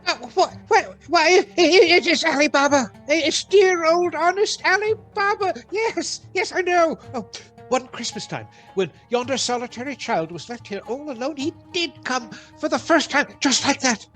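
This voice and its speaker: Radio drama role: excitable, stammering old miser, high-pitched, theatrical, with music underneath. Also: female voice, moderate pace. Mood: excited, delighted. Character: excitable old miser softening as he relives boyhood. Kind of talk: theatrical radio play acting.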